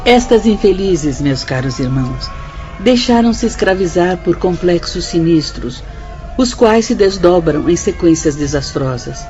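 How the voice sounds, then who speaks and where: An actress playing a stern, sorrowful spirit instructor who preaches formally in a radio drama style, with a Brazilian accent. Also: female voice, lowish voice, lively intonation, unhurried, a little mellow, muffled recording, faint music behind. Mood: sorrowful, grave.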